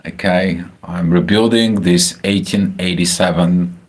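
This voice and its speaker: Man, Bulgarian accent, very deep voice, slow, halting with lots of uhs, casual astrology talk. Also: fairly even intonation. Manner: halting, many filler uhs and restarts. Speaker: astrology channel host. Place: home, house in Spain.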